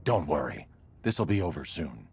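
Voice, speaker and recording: A man's voice: big pitch swings, moderate pace, a little hiss or hum, heavily acted. A man playing a grim masked vigilante in a video game, terse and commanding with a controlled growl. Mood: reassuring. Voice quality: low, growling. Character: grim, stern masked male vigilante crimefighter. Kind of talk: video game hero acting. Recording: loud music behind the voice.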